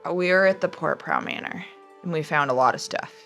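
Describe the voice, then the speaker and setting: A woman with a very low voice plays a tabletop roleplaying game. She improvises casually and informally, with music running underneath. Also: brisk, gravelly, fairly bright tone. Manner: informal, peppered with "like".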